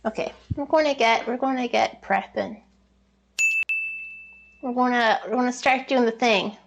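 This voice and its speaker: A woman's voice: a little high, measured pace, creaky, dull audio, noisy background. A woman with an Irish accent chatting casually and playfully while hosting a live cooking stream. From Ireland. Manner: chatty, playful, reads out viewer messages. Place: indoors, in a kitchen. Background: music.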